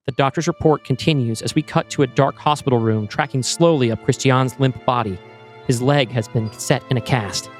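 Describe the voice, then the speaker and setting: A man narrating a scripted screenplay in the present tense, with measured, descriptive storytelling. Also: medium pitch, faint music behind. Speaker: narrator and host.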